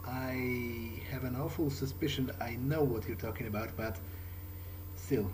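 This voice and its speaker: A man's voice: mid-range voice, steady tone, a little slow, noisy background. A man speaking in character during a video game playthrough, hesitant and tentative as he thinks aloud. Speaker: gamer role-playing his character. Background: video game ambience.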